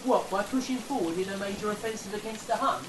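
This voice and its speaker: A restless young man in uniform, as an actor plays him in a war radio play. He is impatient and homesick, heard in a roomy space with a clear sizzling noise behind him. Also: sits high, quick pace, close to an everyday voice. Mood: impatient, sardonic. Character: restless young male soldier, impatient to get home. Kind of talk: period radio drama acting. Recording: roomy, noisy with rain-like sizzle.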